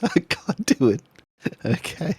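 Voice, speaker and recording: A man with an extremely animated pitch range and a slow, halting pace, recorded dry. He jokes casually with friends over a tabletop game. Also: husky, no room sound. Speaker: player in an actual-play tabletop podcast. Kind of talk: casual, joking table talk.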